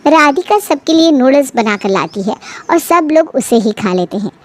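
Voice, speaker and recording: A woman's voice: sits high, animated, normal speed. A woman reading scripted narration for a family bedtime story.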